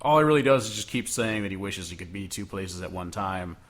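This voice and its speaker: A man's voice: low-pitched, expressive, a little fast. A young man co-hosting a casual podcast, talking loosely and padding his points with many "like" fillers. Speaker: podcast co-host. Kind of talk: casual chat.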